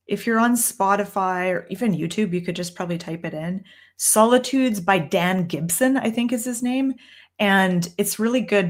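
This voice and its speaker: A young woman with a Canadian accent speaks in a middle-pitched voice, answering viewer questions in a loose, conversational way. She thinks out loud, trails off and restarts her sentences, dropping in ums as she goes. It sounds like a home livestream recorded in a room downstairs. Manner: chatty, thinks aloud with frequent ums and restarts. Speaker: tarot reader and livestream host. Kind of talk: casual livestream Q&A.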